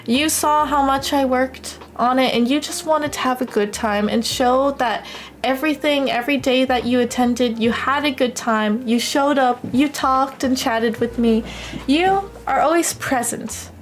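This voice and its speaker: A young woman from the United States chatting casually on a livestream in an expressive, affectionate, gushing way, as a streamer talking to viewers. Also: sits high, unhurried, a little hiss or hum. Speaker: live streamer.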